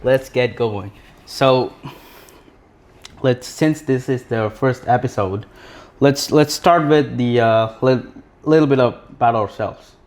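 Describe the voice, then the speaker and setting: A man's voice: mid-range voice, slow, long pauses, darkish tone. A young man from Sri Lanka co-hosting a live podcast in a casual, rambling way, full of restarts and fillers. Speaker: podcast co-host.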